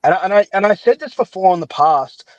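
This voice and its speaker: A man's voice: high-pitched, expressive, fast talker, no room sound, highs cut off. A man chatting casually as a podcast guest, giving his opinions in a rambling way and often qualifying what he says. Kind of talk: casual chat.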